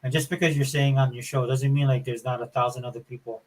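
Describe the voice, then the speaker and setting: Man, medium pitch, slightly whiny voice, casual podcast chat, loose informal phrasing. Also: steady tone, quick pace, low-quality recording. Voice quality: somewhat whiny. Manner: loose, informal, peppered with like and dude.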